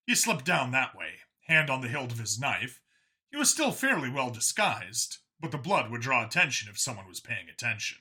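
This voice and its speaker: A man's voice: mid-range voice, sing-song, normal speed, sharp tone, slightly rough. A man narrating a scripted science fiction story as an audiobook reader.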